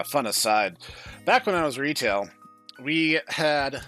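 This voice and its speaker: A man's voice: deep voice, bright, forceful tone. A man hosting a let's-play, chatting casually and going off on opinionated, digressive asides over the game. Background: music.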